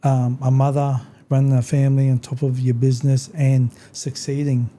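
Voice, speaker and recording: Male podcast co-host, mid pitch, extremely soft-edged dark tone, conversational delivery. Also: flat delivery, moderate pace, a little hiss or hum. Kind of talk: podcast introduction and conversational interviewing.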